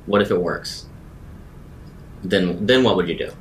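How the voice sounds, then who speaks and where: A man with a fairly low voice plays a tabletop roleplaying game. He improvises his lines and talks casually over a faint low rumble. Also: unhurried.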